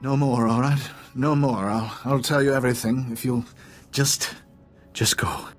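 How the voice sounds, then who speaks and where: A man's voice: mid-range voice, fairly even intonation, measured pace, faint music behind, close to an everyday voice. Man playing a blustering, imperious rich schemer. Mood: weakened, submissive. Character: pompous wealthy crime-linked businessman, imperious yet panicky. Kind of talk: video game voice acting.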